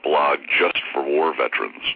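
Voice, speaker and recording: A man with a low voice and a head cold, a franchise consultant chatting as a guest on a phone interview. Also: vocal fry, slightly rough, dry recording. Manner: relaxed, chatty, halting. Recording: tinny phone line on radio.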